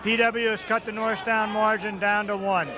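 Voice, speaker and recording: Loud high-voiced man calling a basketball game. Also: flat delivery. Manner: rapid clipped play calls, partisan for home team. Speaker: play-by-play announcer. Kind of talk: live basketball play-by-play. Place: high school gym. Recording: muffled 1990s local broadcast tape, loud gym crowd. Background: crowd noise, ball bounces.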